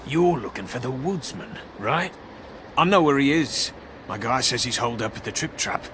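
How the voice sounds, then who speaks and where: An animated man playing a streetwise hired investigator from a noir game. He is slangy and evasive, with a swinging, mid-range voice over clear street noise. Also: medium pitch, moderate pace, close to an everyday voice. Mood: defensive, agitated. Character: cagey, wisecracking streetwise hired investigator in his prime. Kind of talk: noir video game voice acting.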